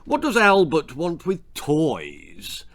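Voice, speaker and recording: Vain, blustering middle-aged father for a children's tale, played by a male reader: self-important, highly animated delivery. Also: slow, exaggerated voice. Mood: pompous. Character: pompous, vain, foolish forty-year-old father.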